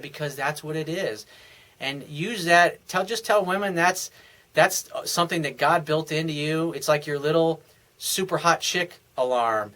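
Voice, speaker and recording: Dating coach guy going off, blunt, swears a lot, repeats himself, bright punchy voice. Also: fairly high pitch. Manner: emphatic and blunt, swears freely; repeats and restarts phrases as he talks. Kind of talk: monologue answering a viewer's question.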